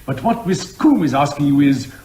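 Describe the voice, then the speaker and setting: Man as animated, testy courtroom judge. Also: fairly high pitch, moderate pace, natural voice. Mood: patiently firm. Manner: formal, magisterial, interrupting. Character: testy, authoritative male judge steering the court. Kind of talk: courtroom radio comedy drama. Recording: echoey room with faint noise.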